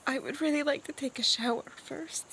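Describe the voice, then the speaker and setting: A woman's voice: high voice, brisk, fairly bright tone, a little hiss or hum. A woman improvising as a player on a tabletop game podcast, hesitant and trailing off as she narrates and speaks in character.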